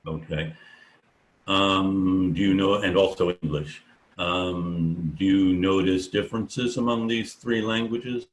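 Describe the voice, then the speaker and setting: A male professor teaching an online class, asking students questions and explaining slowly, often pausing with "um" as he thinks aloud. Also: low-pitched, fairly even intonation, poor audio. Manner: unhurried, thinking aloud with many ums.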